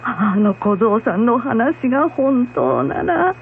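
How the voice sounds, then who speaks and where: A woman playing a frightened blind old village woman in a folktale radio drama, pleading in a halting, urgent way. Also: fairly high pitch, big pitch swings, exaggerated voice. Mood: anxious. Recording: muffled lo-fi with hum and noise.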